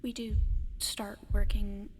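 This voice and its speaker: A woman voicing a gentle giant alien in an ASMR roleplay, speaking softly and often whispering, in a hesitant, halting, explaining way. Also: mid-range voice, level tone, a little hiss or hum, natural voice. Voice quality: soft, breathy, often whispered. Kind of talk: ASMR roleplay addressed to the listener.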